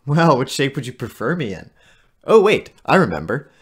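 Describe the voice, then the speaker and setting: Husky, flippant teenage mimic played by an actor. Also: mid-range voice, sing-song, moderate pace. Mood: mocking. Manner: flippant, taunting. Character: mocking fairy mimicking a glib teenage student. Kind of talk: audio drama acting.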